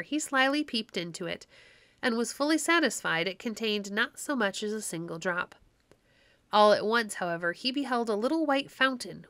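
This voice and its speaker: A woman's voice: mid-range voice, fairly bright tone, dry recording. A woman narrator reading a classic tale aloud in a scripted storybook style.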